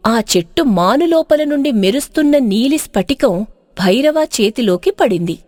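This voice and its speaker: A woman's voice: sits high, expressive, moderate pace, a little mellow, dry recording, studio quality. A woman narrating a storybook tale in a dramatic, scripted style.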